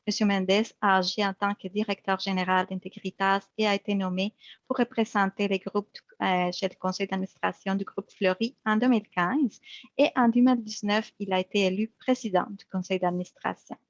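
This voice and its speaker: A woman's voice: medium pitch, fairly even intonation, brisk, dry recording. A woman moderating a webinar, formally reading out a prepared introduction of the guest speaker. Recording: dull lo-fi web audio.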